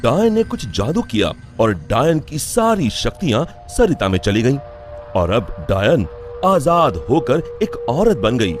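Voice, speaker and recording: A man narrating a scripted animated story in a dramatic storytelling style. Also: mid-range voice. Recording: music under the voice.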